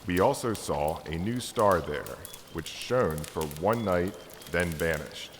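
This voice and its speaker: Devout, hopeful Magus male reader, low-pitched, earnest and solemn, dramatic Christmas story reading, echoing hall. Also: animated, slow, exaggerated voice. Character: Artaban, devout, hopeful Persian Magus and stargazer. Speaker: amateur church reader. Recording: echoey hall reverb with background noise.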